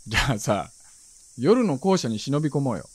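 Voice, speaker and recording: A man's voice: medium pitch, big pitch swings, normal speed, husky, no echo. An actor playing a blunt, easygoing and impulsive young man, the hometown friend, in a naturalistic radio drama. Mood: eager, offhand. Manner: casual, blunt, offhand.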